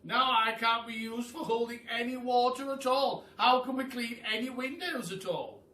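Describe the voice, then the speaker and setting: Storybook pelican, played by a man: earnest, obliging, raised pitch, echoey room. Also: moderate pace, pushed and bright. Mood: dismayed. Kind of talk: children's storybook read aloud. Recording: echoey room, distant mic.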